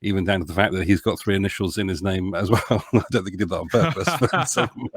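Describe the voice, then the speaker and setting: A man giving his opinions in a casual podcast discussion, feeling his way haltingly through his explanations. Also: low voice, breathy edge, no room sound. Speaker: podcast panellist.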